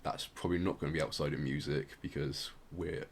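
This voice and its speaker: A man's voice: very deep voice, fairly even intonation, brisk, a little hiss or hum. A man hosting a podcast, explaining things in a casual, rambling way with plenty of hedging. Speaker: podcast host.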